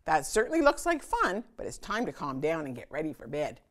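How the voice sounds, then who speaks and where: A woman voicing a gently firm mother bear from a children's storybook, with a motherly, lightly chiding manner. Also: sits low, huge pitch swings, fairly quick.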